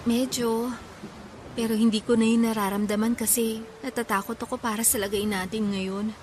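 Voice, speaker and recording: Actress as a frightened, devoted young woman, high voice. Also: little pitch movement, normal speed, a little mellow, a little hiss or hum, lightly acted. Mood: fearful, pleading. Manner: earnest, emotional. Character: frightened, devoted young woman confessing love amid a storm. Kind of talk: Filipino radio drama acting.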